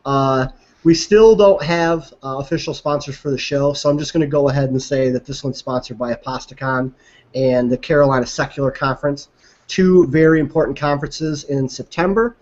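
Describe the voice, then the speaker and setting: A man with a medium-pitched voice hosts an online podcast, presenting in a casual, conversational way. The recording is a little dull and lo-fi. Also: moderate pace, darkish tone. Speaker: podcast host. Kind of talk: casual show introduction.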